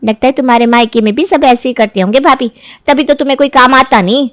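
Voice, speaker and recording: A woman doing a high-voiced, taunting young sister-in-law. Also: fast talker, no room sound, clean studio sound, lightly acted. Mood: accusing, taunting. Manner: mocking, needling.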